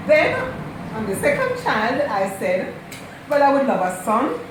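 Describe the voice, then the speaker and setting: A woman, the host's mother, fondly telling stories about her daughter's childhood at an unhurried pace and repeating herself for emphasis. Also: high-pitched, wildly swooping pitch. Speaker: mother of the channel host, guest. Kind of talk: casual storytelling. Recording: echoey room, distant mic, noisy.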